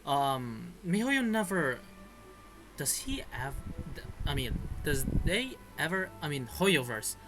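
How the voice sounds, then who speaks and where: A man's voice: on the high side, big pitch swings, slow, fairly bright tone, soft background music. A man giving casual, rambling gameplay commentary in an informal manner. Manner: informal, rambling, mild swearing. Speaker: gaming video creator. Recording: clear background noise, clicks, game audio.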